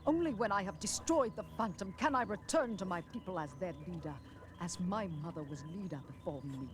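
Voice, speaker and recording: A woman playing a proud, vengeful African warrior in an animated adventure, declaiming solemnly and formally. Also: mid-range voice, a little fast, soft background music, natural voice. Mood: proud, bitter. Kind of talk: animated adventure series acting.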